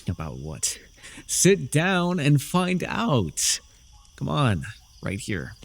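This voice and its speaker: Smug gravelly young villain played by a man. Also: mid-range voice, a little hiss or hum, heavily acted. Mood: wry, coaxing. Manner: theatrical, teasing, drawling with dry mockery. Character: smug, sardonic young dark sorcerer villain, teasing and urbane. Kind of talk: ASMR fantasy roleplay voice acting.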